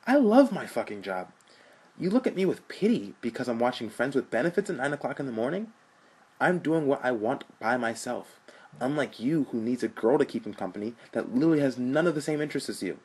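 A man's voice: fairly low pitch, quick pace, vocal fry, breathy edge. A man voicing a defiant young slacker older brother who talks angrily and swears. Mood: angry. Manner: angry, swearing, defensive.

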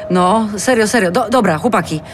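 A woman's voice: mid-range voice, normal speed, slight background noise, lightly acted. An actress playing a harried, defensive mother of two in a naturalistic audio drama. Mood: firm, coaxing.

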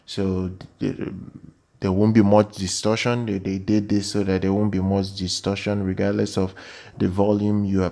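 A man giving an informal product news voice-over as a channel presenter, with halting, repetitive phrasing. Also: deep voice, warm tone.

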